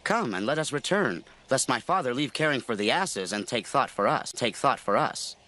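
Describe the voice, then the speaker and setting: A man's voice: medium pitch, lively intonation, moderate pace, sharp tone. An actor playing an earnest, questioning young man in a dramatized scripture story. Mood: earnest concern. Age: young adult. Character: Saul, earnest young man seeking lost livestock.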